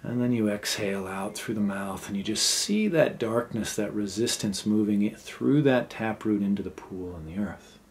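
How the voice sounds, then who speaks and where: Low-voiced man guiding a meditation. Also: slight background noise. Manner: gentle, unhurried, pausing between short phrases. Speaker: meditation guide. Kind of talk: guided meditation, unscripted.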